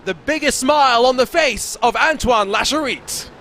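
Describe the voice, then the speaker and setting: High-pitched male play-by-play commentator. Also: normal speed, a little hiss or hum. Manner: fluent, excitable play-by-play with playful banter. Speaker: sim racing lead commentator.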